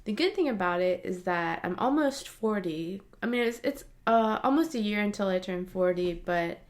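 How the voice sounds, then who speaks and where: A woman's voice: medium pitch, lively intonation, normal speed. A woman in her late thirties talking casually to camera in a personal vlog, chatty and laughing often, with frequent fillers. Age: 30s. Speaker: vlogger.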